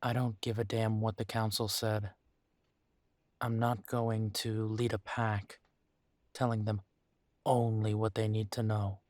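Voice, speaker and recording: A man playing a protective, weary but determined werewolf pack leader in an intimate roleplay audio drama, speaking deliberately with pauses. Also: lowish voice, level tone, darkish tone, no room sound, close to an everyday voice. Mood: firm, resolute.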